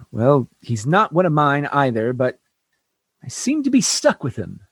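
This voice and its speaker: A man's voice: medium pitch, wildly swooping pitch, unhurried, breathy edge, full character voice. A man playing an exasperated, tactless human starship captain in a sci-fi radio comedy, blustering and pleading as he talks. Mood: frustrated.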